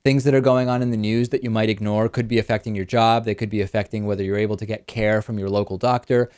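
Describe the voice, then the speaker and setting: A man born in Argentina whose first language is Spanish, hosting a talk show in a relaxed conversational way and answering listener questions. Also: fairly low pitch, quick pace, smooth. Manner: conversational, repeats words when thinking. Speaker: host. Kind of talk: casual chat, reading listener questions.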